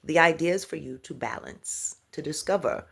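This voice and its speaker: A woman's voice: sits low, lively intonation, moderate pace, gravelly, slightly rough. A woman giving a casual spiritual talk to her viewers, laughing often, humming and scatting between thoughts, and using pet names for her audience. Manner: repeats and restarts words, pet names for the audience. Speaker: spiritual teacher.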